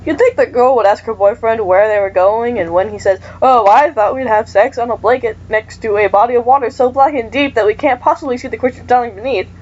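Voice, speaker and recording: A woman reading the lines of a chatty teenage boy who loves horror movies, rambling excitedly. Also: a little high, a little fast, hardly any pauses, highs cut off, slight background noise, natural voice.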